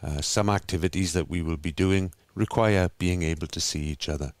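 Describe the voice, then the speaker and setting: A Welsh man opens a video call meetup in a very low voice. He reads a steady, scripted welcome and catches his small slips as he goes. The sound is dry, with no room around it. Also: normal speed, no room sound. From Wales. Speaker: host.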